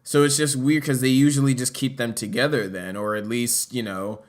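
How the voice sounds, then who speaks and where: A man's voice: mid-range voice, steady tone, normal speed. A young man talking casually to his audience about wrestling, rambling with fillers and self-corrections. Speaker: wrestling commentary vlogger. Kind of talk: casual solo commentary.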